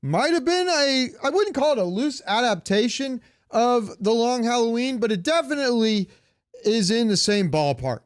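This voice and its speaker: A man's voice: fairly high pitch, smooth, no echo, studio quality. A man chatting casually as a podcast co-host in a rambling way, swearing now and then and asking questions. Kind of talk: casual chat about comics and movies.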